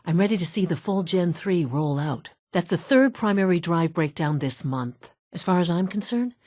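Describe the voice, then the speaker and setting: A poised woman scientist from a video game, with a notably low voice and a husky edge. She speaks in measured, formal sentences and stays matter-of-fact, like someone used to running a facility. The sound is dry and close, with no room around it. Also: expressive, darkish tone, no room sound. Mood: brisk, businesslike. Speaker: video game character, facilities division head. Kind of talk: scripted video game dialogue.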